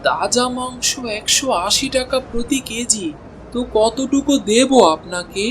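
A man's voice: extremely high pitch, measured pace, a bit bright, a little hiss or hum, poor audio. A man voicing an honest, hard-pressed village butcher and meek husband in a cartoon, earnest and pleading. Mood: earnest, defensive. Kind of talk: Bengali cartoon voice acting.

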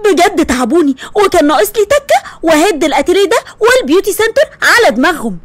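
A woman's voice: extremely high pitch, sing-song, quick pace, dry recording. A woman voicing an irritable, sarcastic tomboyish young woman with an Egyptian accent. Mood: exasperated. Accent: Egyptian Arabic. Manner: exasperated, sarcastic.

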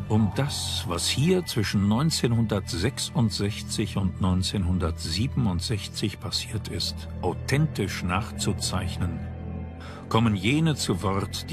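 A man reading scripted documentary narration in a measured, dramatic storytelling manner. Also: sits low. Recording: music bed behind voice. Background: background music.

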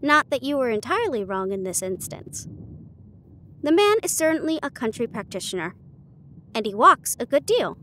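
A woman voicing a sharp, confident male detective with a precise, formal, condescending manner in an audiobook. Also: fairly high pitch, very animated, moderate pace, slight background noise. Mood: analytical.

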